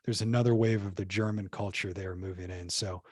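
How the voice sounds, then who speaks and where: A man's voice: fairly low pitch, steady tone, brisk, a little mellow, no room sound. A man, a podcast guest, telling history in a casual, rambling way full of fillers and restarts. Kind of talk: casual conversational storytelling.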